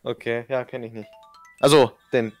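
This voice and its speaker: A man's voice: fairly even intonation, normal speed. A young man chatting casually while playing a co-op video game, his voice prone to climb when flustered. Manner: voice climbs high when panicking. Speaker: let's player. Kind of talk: casual co-op gaming banter. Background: video game music.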